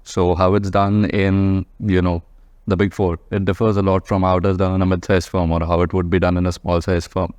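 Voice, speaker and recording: A man's voice: deep voice, flat delivery, a little fast, darkish tone, clear, resonant tone, no room sound, studio quality. A man from Mumbai with an Indian accent, speaking casually as an accountant guest on a panel interview. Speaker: guest, practicing chartered accountant.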